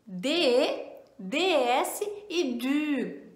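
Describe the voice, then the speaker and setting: A woman teaching French in an online lesson, patiently repeating each example slowly. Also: high-pitched, sing-song, reverberant. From Portugal, raised in Brazil.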